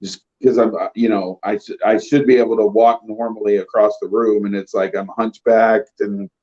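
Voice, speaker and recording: Late-forties male guest, low mellow voice, plainspoken, muffled lo-fi audio. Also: little pitch movement, normal speed. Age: 40s. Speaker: guest on the stream. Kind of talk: casual livestream chat.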